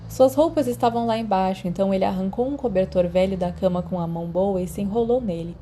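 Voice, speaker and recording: A woman's voice: mid-range voice, fast, dark tone, slight background noise. A woman with a Brazilian accent reading a story aloud as a scripted narration. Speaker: audiobook narrator.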